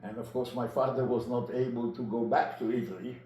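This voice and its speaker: A man in his nineties from Egypt recalls his life in an interview, speaking haltingly and deliberately and often repeating phrases. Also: medium pitch, fairly even intonation, mellow. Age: 90s. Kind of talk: personal recollection in an interview. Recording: roomy echo with clear background noise.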